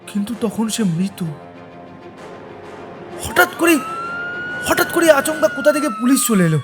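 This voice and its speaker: An actor playing a distressed man accused of murder in an audio drama, pleading and halting, nervously repeating his words. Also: very high voice, very animated, over music, full character voice. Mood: shaken, anguished. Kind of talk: audio story drama acting.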